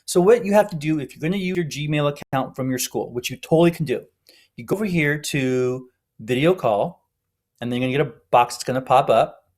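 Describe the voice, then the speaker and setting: A man giving an informal, step-by-step tutorial narration as an instructor. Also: medium pitch. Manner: explanatory, step-by-step.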